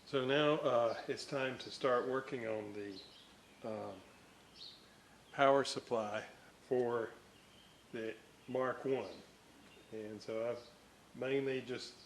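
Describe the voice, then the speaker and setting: Slow-talking older man, noisy recording. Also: medium pitch, fairly even intonation, vocal fry. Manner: halting, folksy, rambling. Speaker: radio restoration hobbyist and host. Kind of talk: casual workbench narration.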